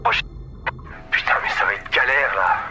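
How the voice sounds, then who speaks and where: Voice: mid-range voice, normal speed, very noisy, lightly acted. An actor playing a matter-of-fact, grumbling salvage diver in an audio drama. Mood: worried.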